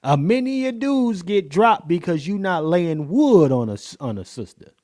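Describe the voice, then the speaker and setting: A man's voice: high voice, big pitch swings, moderate pace, a little mellow. A man hosting a podcast, reacting to a dating show clip with casual, joking commentary and frequent laughter. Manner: laughing, joking, playful slang.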